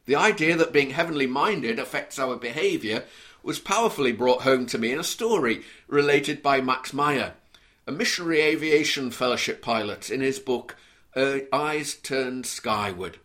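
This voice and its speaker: A man from Liverpool with a mild Scouse accent, preaching an earnest, measured sermon and reading scripture aloud. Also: mid-range voice, animated, fairly bright tone. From Liverpool, England.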